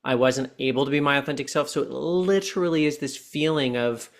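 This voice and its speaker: A man in his forties, a podcast guest and coach, talking earnestly and slowing down to stress his points. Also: medium pitch, fairly even intonation. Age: 40s. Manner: deliberate, earnest coaching tone, slows down for key points. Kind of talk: reflective podcast conversation.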